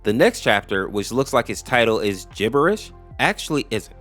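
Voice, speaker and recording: Casting: male video narrator, average pitch, conversational storyteller explaining things casually, background music. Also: sing-song, moderate pace, fairly bright tone. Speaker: YouTube host explaining animated horror lore.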